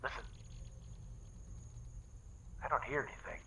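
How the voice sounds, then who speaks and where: A man's voice: unhurried, sharp tone, slight background noise. A man playing a wry, grumbling, easily distracted spaceship janitor in a sci-fi comedy audio drama, dry and sarcastic.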